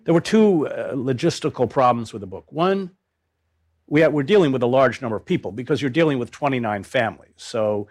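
An older man speaking as a journalist in an interview, recounting his work in a grave, deliberate, halting way. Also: mid-range voice, animated, a little mellow.

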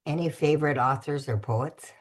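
A very deep, husky-voiced woman hosts a community radio show. She talks in a relaxed, conversational way and sometimes pauses to find her words. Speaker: radio host.

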